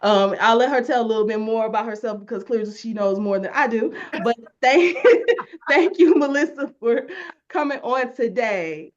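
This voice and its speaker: A woman's voice: fairly high pitch, moderate pace. Chatty woman hosting, slightly muffled sound. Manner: warm, rambling, self-correcting with many fillers. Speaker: podcast host and business owner. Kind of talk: casual interview chat.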